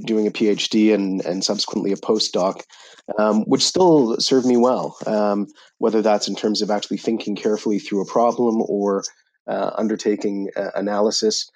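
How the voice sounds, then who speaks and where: A middle-aged man from Canada being interviewed on a podcast, speaking in a measured way with many pauses and fillers. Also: fairly low pitch, fairly even intonation, a little mellow, rough recording. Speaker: guest, author and UN official. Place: office in Jerusalem.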